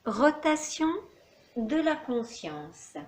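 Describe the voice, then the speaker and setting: A woman teaching yoga nidra, giving calm, soothing guided relaxation instructions with long pauses in an echoey room. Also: sits high.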